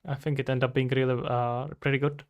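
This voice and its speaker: A young man from the Republic speaks English. His voice sits at an average pitch. He chats casually to a livestream while working at his computer, often thinking out loud. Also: flat delivery, brisk. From Czech Republic. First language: Czech. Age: 20s. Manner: thinking aloud, talking to himself and viewers. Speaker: streamer, indie game developer. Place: indoors at a computer.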